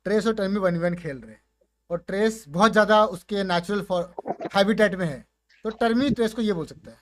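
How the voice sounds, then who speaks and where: A man with an Indian accent and a high-pitched voice presents gaming content in a casual way. His talk is informal and slangy, switching between Hindi and English. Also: brisk, slight background noise. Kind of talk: casual commentary with demonstrations.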